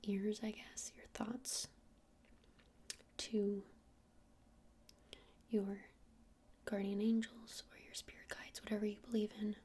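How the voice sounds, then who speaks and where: A woman playing a friendly witch shopkeeper in an ASMR roleplay, speaking in a soft breathy whisper with hesitant, informal explanations. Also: mid-range voice, little pitch movement, slow, long pauses, natural voice. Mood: gentle, helpful.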